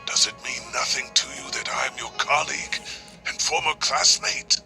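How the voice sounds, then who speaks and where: A man's voice: mid-range voice, moderate pace, vocal fry, sharp tone, faint music behind. An actor playing a stubborn old male sage who grumbles defensively, in game voice acting. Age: older.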